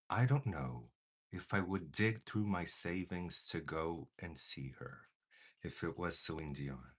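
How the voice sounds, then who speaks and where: A young man whose first language is Portuguese chats casually while doing his makeup, with dramatic bursts of mock outrage. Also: sits low. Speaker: beauty vlogger.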